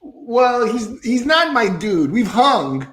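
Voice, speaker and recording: A man co-hosts a comedy stream in a high voice. He jokes loosely and swears casually. The sound comes through a video call. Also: big pitch swings, slow, fairly bright tone. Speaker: co-host. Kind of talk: comedic livestream banter.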